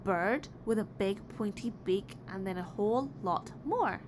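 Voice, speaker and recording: A woman voicing an imaginative, quietly defiant little boy in a storybook, with an emphatic delivery. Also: mid-range voice, expressive, unhurried, lightly acted. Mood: thoughtful. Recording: clearly noisy background.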